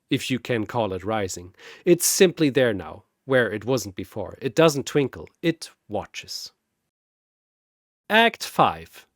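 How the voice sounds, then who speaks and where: A man narrates a scripted story slowly and deliberately, letting his pitch swing widely for drama. The sound is close and dry, with no room around it. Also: no echo.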